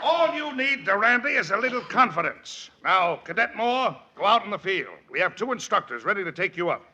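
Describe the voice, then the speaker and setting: A man's voice: sits high, big pitch swings, moderate pace, sharp tone, highs cut off, a little hiss or hum, heavily acted. A man playing a hearty, melodramatic flight school instructor in a 1940s radio comedy. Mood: rousing.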